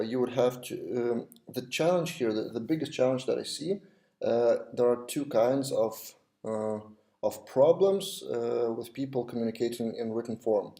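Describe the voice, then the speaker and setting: Mellow Lithuanian man talking to webcam. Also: mid-range voice, vocal fry. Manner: hesitant, many restarts and fillers. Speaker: web developer vlogger. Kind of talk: casual talk to camera. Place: small office, indoors.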